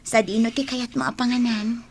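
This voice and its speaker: An actress with an Ilocano accent playing a caring, dutiful young woman and loyal friend in a radio drama, speaking gently. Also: on the high side, fairly even intonation, moderate pace, gravelly, slight background noise.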